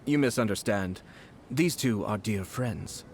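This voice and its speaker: A man voicing a courteous, shrewd young nobleman in a video game, speaking smoothly in a measured, formal way. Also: lowish voice, a little hiss or hum.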